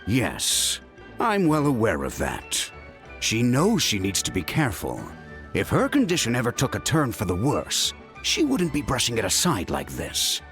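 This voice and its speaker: An actor playing a stern but caring middle-aged father, world-weary and emphatic, with a gravelly voice, in a video game. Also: mid-range voice, huge pitch swings, unhurried, a bit bright, heavily acted. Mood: worried, weary. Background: background music.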